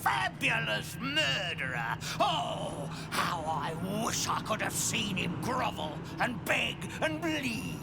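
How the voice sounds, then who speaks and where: A sadistic keeper of hellish portals in a fantasy game. The delivery is very drawn out and gravelly, swinging widely in pitch with theatrical, sneering cruelty. Loud music plays underneath. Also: male voice, big pitch swings, slow, hardly any pauses, heavily acted. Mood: gleeful, bloodthirsty. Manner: sneering, theatrical, relishing each word. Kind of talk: video game cutscene acting.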